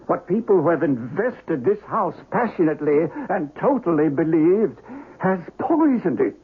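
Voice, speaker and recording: Grave exorcist, high-pitched male voice, slow theatrical delivery, radio horror drama, tinny old broadcast. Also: big pitch swings, husky, exaggerated voice. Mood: grave, ominous. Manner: grave, theatrical, archaic incantations. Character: solemn doctor of the occult, male exorcist.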